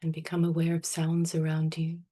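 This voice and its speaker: A woman meditation teacher with a low, mellow voice leads a guided practice. Her delivery is soft and soothing, staying very even in pitch, with long, unhurried pauses between instructions.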